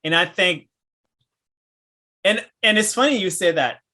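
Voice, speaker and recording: A man with a Haitian accent talks in a bright, ringing tone that sits a little high. He is an enthusiastic podcast host who laughs often. His phrases come in halting bursts with frequent restarts. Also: animated. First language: French and Haitian Creole. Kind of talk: casual interview chat.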